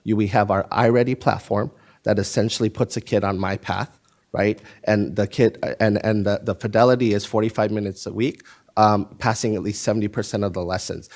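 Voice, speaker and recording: A man's voice: lowish voice, steady tone, brisk, a little mellow. A man, a school district administrator, explaining instructional plans to a board meeting in an emphatic, explanatory way. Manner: explanatory, emphatic, insists on finishing.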